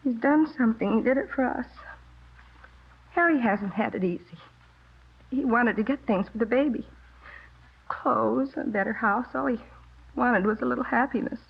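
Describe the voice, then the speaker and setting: A woman's voice: a little high, moderate pace, gravelly, long pauses, husky. An actress playing a loyal, anxious young wife and mother in a 1950s radio drama, speaking politely and with earnest hesitation. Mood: pleading, distressed. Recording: dull, muffled old radio recording.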